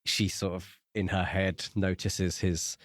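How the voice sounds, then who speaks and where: A man's voice: low voice, little pitch movement, takes it slowly. A man co-hosting a podcast in a casual, conversational style. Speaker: podcast co-host discussing books.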